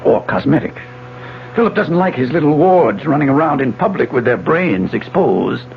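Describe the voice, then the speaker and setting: An actor playing a suave, condescending middle-aged husband and researcher in a 1970s radio drama, talking in a polished, lecturing way. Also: male voice, on the high side, very animated, normal speed, creaky, slight background noise. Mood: condescending, smug. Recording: muffled 1970s AM radio broadcast.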